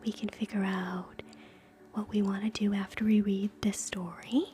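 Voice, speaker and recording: A woman hosting live ASMR in a soft, breathy whisper, gentle and soothing, moving between guided relaxation and quiet chat. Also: mid-range voice, little pitch movement, moderate pace. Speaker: ASMR streamer, host.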